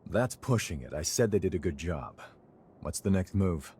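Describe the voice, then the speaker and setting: A man's voice: low voice, lively intonation, moderate pace, dark tone, a little hiss or hum. An actor playing an easygoing, wry male hunter in a video game cutscene, speaking in a casual, colloquial way. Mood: wry, teasing.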